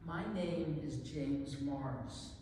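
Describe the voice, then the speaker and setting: Deliberate humble deacon read by a man, echoing. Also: medium pitch, dark tone, lightly acted. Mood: humble, resolute. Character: humble, determined former slave man, a deacon. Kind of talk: dramatic poetry reading. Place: large indoor hall at a live event. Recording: very echoey noisy hall, distant mic.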